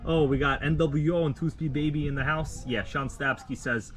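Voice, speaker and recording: A man from Russia, a native Russian speaker, hosting a casual live stream outdoors at night, rambling in a relaxed, slangy way with many fillers. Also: fairly high pitch, moderate pace, low-quality recording. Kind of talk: casual live stream chat, reading viewer comments.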